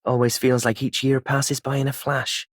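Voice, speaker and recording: An actor playing a reflective, mild man in a video game, musing gently. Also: mid-range voice, flat delivery, moderate pace, noisy background, close to an everyday voice. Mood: pensive.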